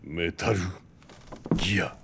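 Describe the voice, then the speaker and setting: An actor playing a stoic soldier spy in a video game, terse and deadpan as he probes with questions. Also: sits low, slow pace, creaky, background noise, heavily acted. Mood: cool, probing. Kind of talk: video game cutscene acting.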